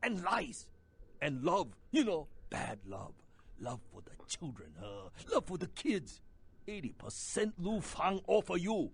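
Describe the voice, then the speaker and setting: Gravelly, animated old Chinese schemer. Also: male voice, high-pitched, unhurried, full character voice. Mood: spiteful, wheedling. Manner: broken English, wheedling, emphatic. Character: old Chinese hitman, male, scheming, spiteful, drunken.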